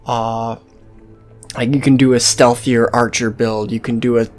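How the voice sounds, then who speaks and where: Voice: medium pitch, little pitch movement, a little slow, faint music behind. A game series host giving casual, sweary let's-play commentary in a rambling, hesitant way.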